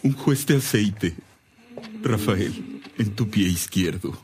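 A man's voice: on the low side, expressive, slow pace, darkish tone, slight background noise. A man acting a solemn, grieving priest in a radio drama, with a ceremonial delivery. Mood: solemn, tender. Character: solemn grieving priest at his dying brother's bedside.

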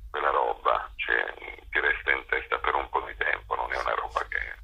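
A man with a gravelly, husky voice answering as an interview guest, explaining haltingly and emphatically with drawn-out words. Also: very deep voice, steady tone, highs cut off. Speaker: interview guest, actor and director. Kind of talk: conversational interview.

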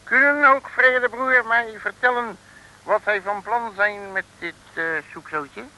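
An actor playing a sly, cheeky detective in an old Dutch comic radio serial, talking slangy mock pidgin as a pretend mandarin. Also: male voice, very high voice, unhurried, bright, forceful tone, exaggerated voice, low-quality recording. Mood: cheeky, scheming.

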